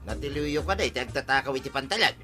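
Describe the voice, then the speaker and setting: A man's voice: mid-range voice, lively intonation, quick pace, over loud music, close to an everyday voice. A man with an Ilocano accent playing an easygoing village corn seller in a comic radio drama. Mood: offhand. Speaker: corn vendor.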